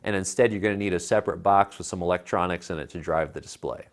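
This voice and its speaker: American guy in his 30s, lowish voice, chatting and explaining gadgets. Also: fast. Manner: conversational, explanatory. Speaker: tech show co-host reviewing home theater gear. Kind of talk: casual tech commentary. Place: living room at home, indoors. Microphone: lapel mic.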